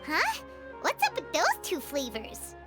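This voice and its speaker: A woman voicing a tiny childlike fairy companion in a video game, squeaky and excitable, exclaiming and talking about herself in third person. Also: slow, over music, full character voice. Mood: puzzled.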